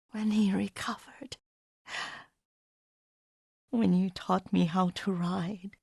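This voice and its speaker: Husky, frail old woman. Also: medium pitch, no echo, clean studio sound, heavily acted. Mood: wistful, tender. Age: older. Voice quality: husky, breathy. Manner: gentle, wistful, slow and halting. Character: frail, devoted elderly Japanese woman caretaker and herbalist. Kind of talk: dramatic video game voice acting.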